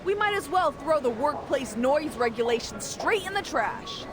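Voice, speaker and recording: An actress playing an irritable, sharp-tongued female character in a video game, snappy and sarcastic. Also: sits high, very animated, normal speed. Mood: annoyed, sarcastic. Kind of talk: dramatic video game voice acting. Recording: clear background ambience noise.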